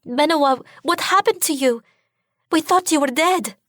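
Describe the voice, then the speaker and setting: A woman's voice: high voice, normal speed, fairly bright tone, dry recording, studio quality, voice pushed up. Woman voicing a quivering, frightened young princess. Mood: pleading, fearful. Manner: quivering, begging. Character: frightened young princess sister, pleading and tearful.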